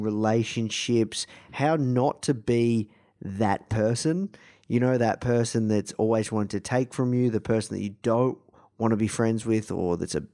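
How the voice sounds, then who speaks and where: An Australian man with a slightly croaky voice, introducing a podcast episode in a casual, enthusiastic, informal way. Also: fairly low pitch, a little mellow. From Melbourne, Australia. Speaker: podcast host.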